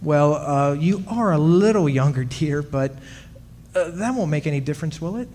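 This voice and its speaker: A man's voice: on the high side, lively intonation, normal speed, darkish tone, a little hiss or hum, close to an everyday voice. A man playing a henpecked, weary history professor in an old-time radio drama, confiding hesitantly and stammering when flustered. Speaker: actor in a live radio play. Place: club hall stage. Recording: reverberant hall, music behind.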